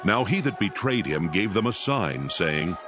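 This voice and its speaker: Male narrator, lowish voice, solemn, scripted scripture storytelling, musical background. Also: very animated, measured pace, music in the background.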